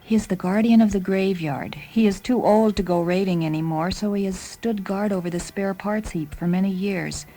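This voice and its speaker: A woman voicing a loyal, dutiful talking combat car in a science fiction story, calm and matter-of-fact, with music behind her. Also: medium pitch, close to an everyday voice, low-quality recording. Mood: calm, reporting. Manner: measured, matter-of-fact reporting.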